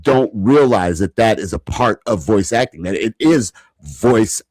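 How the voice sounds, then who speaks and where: A man's voice: on the low side, expressive, measured pace, darkish tone, no echo. A man co-hosting a podcast, talking emphatically with lots of repeated restarts. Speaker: podcast co-host. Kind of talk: casual interview chat.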